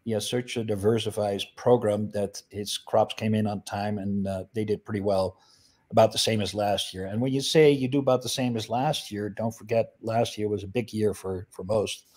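A man's voice: fairly low pitch, fairly even intonation, normal speed, a little mellow. A middle-aged man from the Netherlands, explaining his business in a video call interview with many fillers. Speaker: guest, flower business owner. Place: indoor room.

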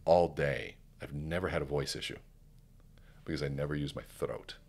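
A middle-aged man with an extremely low, resonant baritone speaks calmly on a podcast. He paces his thoughts with deliberate pauses, like a trained speaker coach. The sound comes from a close studio microphone. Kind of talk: conversational podcast interview. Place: podcast studio with curtained backdrop. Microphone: studio microphone on a stand, headphones on.

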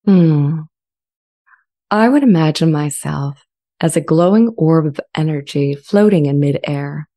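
A woman performs a sentient artificial intelligence in a dramatized dialogue. The voice is low and soft-edged, with a mellow tone. It speaks serenely and reflectively, in measured, gentle phrases. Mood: serene, thoughtful. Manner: measured, calm, reflective delivery. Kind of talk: dramatized transcript reading. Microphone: large studio condenser mic up close.

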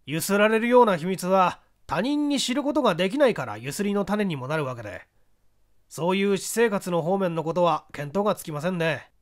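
Forthright businessman witness in an audiobook, played by a male reader: high voice, animated, conversational. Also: brisk. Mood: matter-of-fact. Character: male business associate giving forthright, irritated testimony to investigators.

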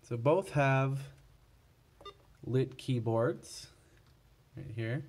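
Slow-talking man demonstrating products. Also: mid-range voice, a little mellow, slight background noise. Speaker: product reviewer for a scanner seller. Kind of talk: casual product comparison demonstration.

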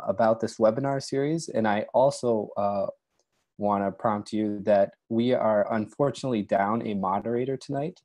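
A man's voice: medium pitch, warm tone. A young man with a cold, nearly losing his voice, moderating a webinar in an easygoing way full of ums, on an earbud mic from a small room at home. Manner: easygoing, many ums and uhs. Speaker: doctoral candidate, webinar moderator. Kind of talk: casual webinar talk. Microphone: earbud headset mic, video call.

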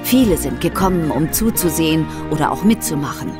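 Woman storyteller, very lively intonation, music playing behind. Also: low voice, brisk. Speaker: narrator. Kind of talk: scripted story narration.

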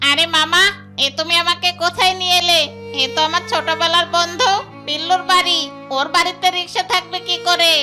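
A woman voicing a naive, tearful young male fox in a children's cartoon, pleading in a whiny, drawn-out way. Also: very high-pitched, level tone, bright, forceful tone, faint music behind, full character voice. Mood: eager, puzzled. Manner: whining, wailing, drawn-out pleading.

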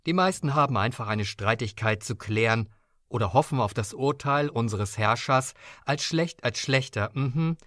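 A man's voice: mid-range voice, lively intonation, moderate pace, a bit bright, no room sound, clean studio sound. A man working as an audio drama voice actor, reading script lines and making casual asides between takes.

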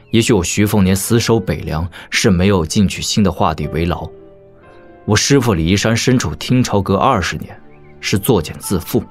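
An actor playing a proud young warrior prince in an audio drama, measured, cutting and mock-polite. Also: male voice, low-pitched. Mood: solemn, proud.

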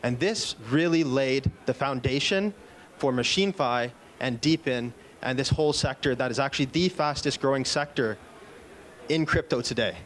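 A man's voice: moderate pace, fairly bright tone. Man giving an upbeat keynote on stage. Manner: upbeat pitch with fillers and restarts. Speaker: conference keynote speaker. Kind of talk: product keynote presentation. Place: conference stage in a large indoor event room. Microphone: handheld microphone.

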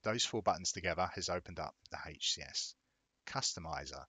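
A man narrating a casual software tutorial in a plain-spoken, step-by-step explanatory way. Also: low voice, animated, fairly quick, breathy edge, no room sound. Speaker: video tutorial presenter.

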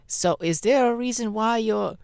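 A man's voice: sits high, moderate pace, creaky, clear, resonant tone, no echo, clean studio sound. A man voicing a jaded, sassy, foul-mouthed male spider demon with a sardonic, weary drawl in an ASMR audio roleplay. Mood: groggy, wary. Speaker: voice actor, ASMR roleplay artist.

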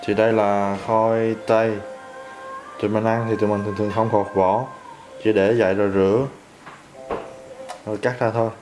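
A young man hosting a casual cooking vlog, explaining step by step in a relaxed, chatty way in his home kitchen. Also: fairly low pitch, normal speed, long pauses, over music, rough recording. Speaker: vlog host.

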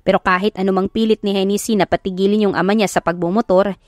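Filipina narrator over background music. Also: female voice, mid-range voice, fairly even intonation, quick pace, darkish tone, no echo, clean studio sound. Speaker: audiobook narrator. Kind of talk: scripted story narration.

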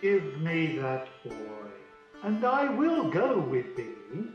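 A man's voice: on the high side, wildly swooping pitch, slow, heavily acted. A man playing a jealous, imperious, scheming fairy king in a Shakespearean radio play, with theatrical, commanding delivery. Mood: demanding. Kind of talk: Shakespearean radio drama verse. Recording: very echoey, distant, muffled, music behind. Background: music.

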